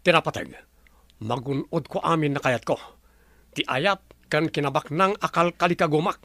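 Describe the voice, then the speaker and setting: A man's voice: a little high, moderate pace, creaky, sharp tone, no room sound. A man with an Ilocano Filipino accent playing a scheming, obsessed, hot-tempered boss in a radio drama. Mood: angry, stubborn.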